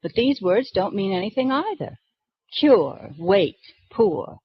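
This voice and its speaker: A prim, reserved librarian speaks with precise, proper diction in a slightly husky voice that rises and falls expressively. The dry 1940s radio recording sounds dull. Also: female voice, mid-range voice, big pitch swings, takes it slowly, no echo, exaggerated voice. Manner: precise, proper, rule-bound speech.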